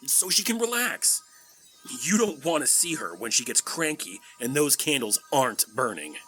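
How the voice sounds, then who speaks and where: Cartoon pony prince and new dad, female actor: low, animated, fretful and doting, over music. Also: moderate pace, exaggerated voice, rough recording. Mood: defensive, fond. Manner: fretful, stammering when flustered. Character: anxious, doting young father pony prince. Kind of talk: animated audio drama acting.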